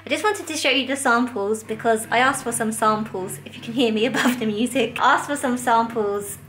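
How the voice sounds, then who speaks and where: A woman's voice: fairly high pitch, a little fast, fairly bright tone, faint music behind. A British woman in her thirties chatting casually and informally to camera as a home vlogger, rambling and correcting herself as she goes. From United Kingdom. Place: bare rooms of a house under renovation, indoors. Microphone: handheld camera at arm's length. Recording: echoey empty rooms.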